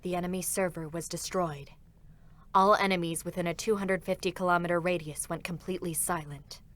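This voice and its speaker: A woman giving a measured, formal scripted narration of a dramatic game story. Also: fairly low pitch, fairly even intonation, fairly bright tone.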